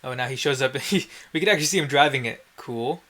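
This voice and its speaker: A man's voice: mid-range voice, quick pace, bright, forceful tone. A man giving casual gameplay commentary in a wry, self-deprecating way, with some mild swearing. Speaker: let's play host.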